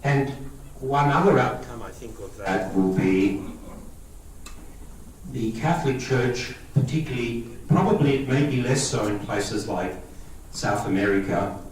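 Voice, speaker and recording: A man's voice: medium pitch, measured pace, recorded from a distance, a little hiss or hum. Greek-born man talking in an echoey hall. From Australia. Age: 50s. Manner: conversational, checks in with listeners. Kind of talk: public talk to a lay audience.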